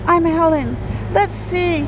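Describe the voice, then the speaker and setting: A woman voicing a kindly female wildlife rescuer in a storybook, gentle and reassuring toward a small creature. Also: very high voice, slow, noisy background, pitched above the performer's own voice. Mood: tender.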